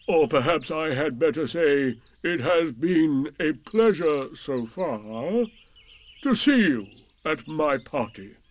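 A man voicing a gloomy, self-pitying old male donkey in a storybook reading, with a slow, mournful, sarcastic drawl. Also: fairly high pitch, voice pushed up. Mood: pompous.

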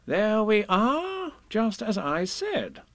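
A high-pitched, self-important rabbit from a children's storybook. He swoops about in pitch and gives brisk orders, sure he is always right. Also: big pitch swings, sharp tone, dry recording, full character voice. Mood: proud. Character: bossy, overconfident male storybook rabbit.

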